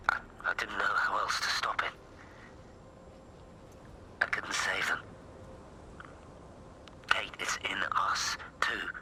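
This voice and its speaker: A man's voice: sits low, poor audio. An actor playing an earnest, troubled British man with a hesitant manner in a naturalistic video game drama.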